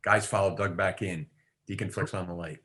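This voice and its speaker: A man with a low, crisp, forward voice reads out screenplay directions. He narrates each action in the present tense, as the reader at a table read. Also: fairly even intonation, a little fast, fairly bright tone. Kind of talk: reading script directions aloud.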